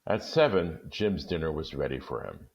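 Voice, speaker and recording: A man's voice: extremely low pitch, normal speed, gravelly. A man reading a short story aloud, narrating expressively in a dull lo-fi recording. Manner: expressive, lively. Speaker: story reader.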